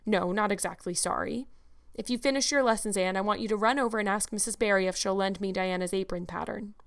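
A woman's voice: mid-range voice, vocal fry, natural voice. Woman acting a stern, dry, no-nonsense older guardian. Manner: brisk, dry, scolding. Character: stern, sarcastic, practical middle-aged woman guardian.

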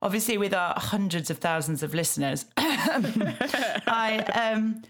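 A woman's voice: mid-range voice, animated, slow pace, fairly bright tone. A British woman, around her thirties or forties, chatting casually and self-deprecatingly as a podcast co-host. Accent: British English. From Yorkshire, England. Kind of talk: casual chat, TV recap.

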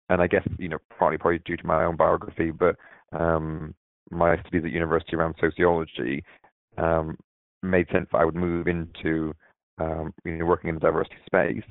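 A very deep, monotone man, thinking aloud and pausing often on "um" as he answers. His voice comes through a narrow, tinny phone line with no room sound at all. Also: creaky, dry recording. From Barbados. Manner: hesitant, many filler sounds and restarts. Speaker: diversity manager, interview guest. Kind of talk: unscripted interview answers.

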